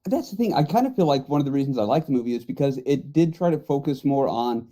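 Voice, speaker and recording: A man's voice: medium pitch, animated, fast, dark tone. A man co-hosting a podcast, talking casually and giving his opinions. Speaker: podcast co-host. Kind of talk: casual group chat.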